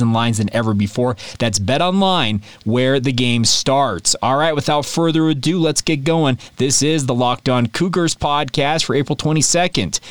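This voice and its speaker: Male sports podcast host, 30s, mid-pitched, stuffy from allergies, conversational with tangents, dry studio sound. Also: clean tone, dry recording. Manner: chatty, informal, rambling asides. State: congested from allergies.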